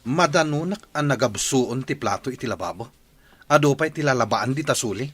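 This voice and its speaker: A man's voice: mid-range voice, lively intonation, a little fast, a bit bright, breathy edge. A man acting a grumbling, demanding village husband and father in a radio drama, with an Ilocano accent. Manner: complaining, insistent.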